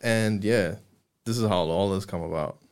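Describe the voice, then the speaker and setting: Young aussie guy hosting a podcast, low voice, chill, swears a bit. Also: normal speed, smooth. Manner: relaxed, friendly, swears casually. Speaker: podcast host. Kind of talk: casual interview chat.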